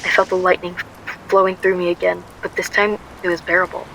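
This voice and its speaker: A woman's voice: lowish voice, level tone, brisk, vocal fry, very noisy. A woman playing a frightened but determined young woman on the run in a first-person radio drama. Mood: tense.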